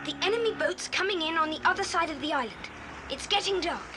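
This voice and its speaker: Bold, high-voiced boy leader. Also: lively intonation, quick pace. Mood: planning. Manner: decisive, commanding. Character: bold, bossy boy adventurer, leader of children. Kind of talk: children's adventure audio drama acting. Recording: faintly hissy old cassette tape.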